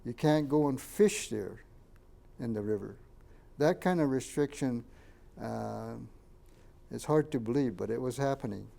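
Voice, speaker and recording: An older man, a Japanese first-language speaker from Canada, recalls his childhood in a halting oral history interview and points out photos. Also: mid-range voice, expressive, creaky, mellow. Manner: halting, many fillers and restarts, pointing out photos.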